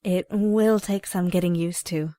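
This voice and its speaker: A woman's voice: lowish voice, fairly even intonation, measured pace, warm tone, no room sound, natural voice. A young woman playing a nervous, hesitant teenage newcomer in an audio drama. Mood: nervous, polite. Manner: hesitant, reflective. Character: nervous teenage girl newly arrived at a mutant school.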